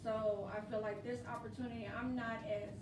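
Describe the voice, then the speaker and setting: A woman reflects informally as a returning cast member, her voice slightly high. She is heard from a distance in an echoey space with clear noise. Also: little pitch movement, a little fast. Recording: echoey noisy room, distant mic.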